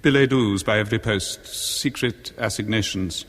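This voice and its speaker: Man acting pompous bitter older english husband, deep voice, florid and scornful, old radio drama w long reverb. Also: moderate pace, fairly bright tone, lightly acted. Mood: bitter indignation. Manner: florid, scornful, theatrically precise. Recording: long reverberant echo on 1970s radio recording.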